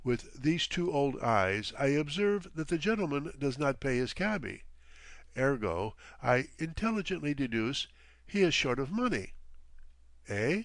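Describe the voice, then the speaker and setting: A man voicing a genial, theatrical elderly gentleman with a courtly, florid, playfully pompous manner in an audiobook story. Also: medium pitch, very animated, measured pace, no room sound, pitched above the performer's own voice. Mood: delighted, playful.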